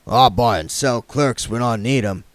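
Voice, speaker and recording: A man voicing a gruff, shrewd, wealthy businessman who speaks bluntly with a menacing, folksy edge. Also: medium pitch, measured pace, dry recording, lightly acted. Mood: suspicious.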